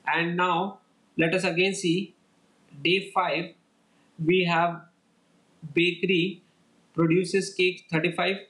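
A man giving a lecture as a voice-over on slides, explaining each step in a steady, repetitive way. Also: on the high side, poor audio. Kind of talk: slide-based lecture walkthrough.

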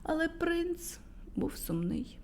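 A woman's voice: extremely high pitch, very animated, slow, a little mellow, a little hiss or hum. A woman telling a fairy tale to small children in a playful storyteller manner, dropping to a whisper at moments.

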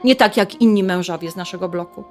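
An actress playing a chatty, warm-hearted middle-aged mother in a radio drama, with colloquial, expressive speech. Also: lowish voice, quick pace, soft background music, natural voice. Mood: gentle, advising. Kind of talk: radio drama dialogue, conversational.

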